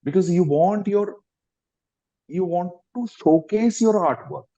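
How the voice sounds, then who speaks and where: A mellow, slightly husky man in his fifties speaks with an Indian English accent. He answers interview questions at length, restarting phrases and lifting his voice for emphasis. The sound comes through dull, lo-fi video-call audio. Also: high voice, unhurried. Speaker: guest actor.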